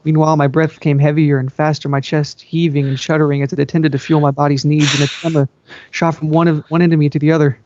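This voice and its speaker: A man's voice: on the high side, level tone, quick pace, darkish tone, slight background noise. A man giving an amateur, melodramatic reading of story narration, occasionally tripping over words. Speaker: fan fiction reader. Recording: dull, dry lo-fi home recording.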